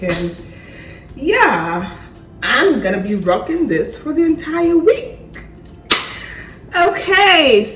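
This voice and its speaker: A young woman from Sierra Leone, hoarse from a cold, talking casually to her viewers in a chatty vlog. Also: echoey room, distant mic, slight background noise, low-quality recording. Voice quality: deep voice. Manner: chatty, lively and warm to viewers, often laughing. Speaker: vlogger. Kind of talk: casual talk to camera.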